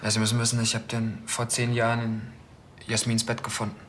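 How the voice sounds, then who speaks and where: An actor playing a troubled, jealous husband in a TV crime drama, with a halting, earnest way of talking. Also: male voice, mid-range voice, monotone, a bit bright, slight background noise, lightly acted. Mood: halting, remorseful.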